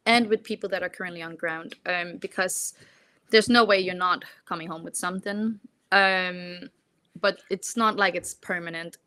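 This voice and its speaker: Low-pitched woman from Denmark, casual interview guest. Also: bright, forceful tone. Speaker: interview guest, former volunteer medic. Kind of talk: casual, reflective interview talk.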